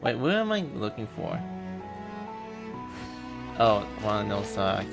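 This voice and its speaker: A man's voice: on the low side, sing-song, takes it slowly, creaky. A man chatting casually to livestream viewers in a hesitant, rambling, self-effacing way. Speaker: game livestreamer. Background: game music.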